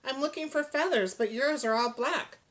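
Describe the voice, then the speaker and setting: A woman's voice: sits high, normal speed, fairly bright tone, pitched above the performer's own voice. A woman voicing an earnest, polite young adventurer from a children's storybook, speaking expressively in rhyme. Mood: earnest, asking.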